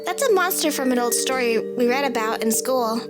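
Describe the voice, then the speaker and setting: A woman's voice: fairly high pitch, lively intonation, brisk. A woman reading a first-person story in the voice of a child, with a childlike, matter-of-fact manner. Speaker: story narrator. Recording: loud music bed under the voice. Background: music.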